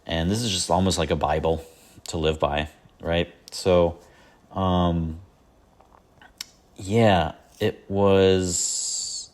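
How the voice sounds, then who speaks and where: A man's voice: deep voice, steady tone, very slow, pauses often, a little mellow. A man hosting a solo podcast, chatting casually and rambling about his favorite albums with lots of fillers and self-deprecating asides. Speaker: podcast host.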